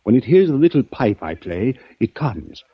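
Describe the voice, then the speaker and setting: Desperate, husky old-radio doctor. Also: male voice, mid-range voice, very animated, no room sound. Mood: anxious, insistent. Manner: polite, halting, pleading. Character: desperate, earnest male doctor and snake expert. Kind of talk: old-time radio drama acting. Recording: dull, dry vintage radio recording.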